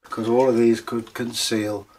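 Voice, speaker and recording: A man's voice: mid-range voice, little pitch movement, a little mellow, slight background noise, poor audio. A man telling stories aloud in a theatrical, joking manner, drawing out words for emphasis. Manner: theatrical, jokey, drawn-out emphasis. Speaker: storyteller. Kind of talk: animated oral folktale telling and chat.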